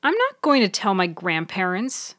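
A woman's voice: mid-range voice, wildly swooping pitch, moderate pace, a bit bright, dry recording, studio quality. A woman plays a young boy in a story podcast. He is sly and eager, easily cross, and speaks with pushy emphasis.

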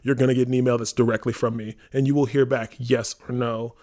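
A man with a mid-pitched voice talks very quickly in a casual podcast discussion. He sounds earnest and emphatic as he hosts for his theater company. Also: flat delivery, dark tone.

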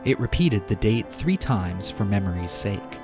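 A man's voice: fairly low pitch, sing-song, a little slow, music in the background. A man speaking as a podcast host and narrator, presenting literature and audio drama.

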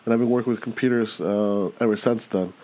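A low-voiced American man in his thirties chats casually as a podcast co-host. He sounds relaxed and fills his sentences with "uh" and "you know" as he tells his story. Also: brisk, low-quality recording. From United States.

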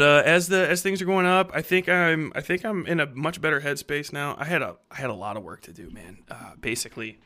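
A man's voice: medium pitch, sharp tone. A man in his thirties hosting a podcast, talking off the cuff in a rambling, blunt, slangy way with plenty of swearing. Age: 30s. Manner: rambling, heavy casual swearing. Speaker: podcast host and fiction writer. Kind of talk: casual unscripted podcast monologue.

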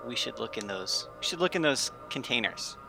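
A man's voice: medium pitch, animated, brisk, creaky, over music. A man chatting casually as a tabletop game player, hesitantly stating his actions.

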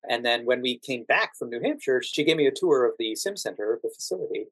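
A man's voice: mid-range voice, lively intonation, fast, a bit bright, breathy edge, no room sound, low-quality recording. Middle-aged man, dry close-sounding interview voice. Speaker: podcast guest, actor and standardized patient. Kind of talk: conversational interview, explaining and telling anecdotes.

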